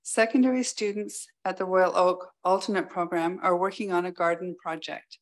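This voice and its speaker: A woman's voice: fairly low pitch, fairly even intonation, moderate pace. A woman reading scripted school district news aloud as a trustee at a meeting.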